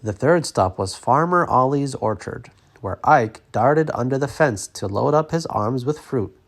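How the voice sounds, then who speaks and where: A man narrating a children's story as an expressive read-aloud. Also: mid-range voice, a little slow.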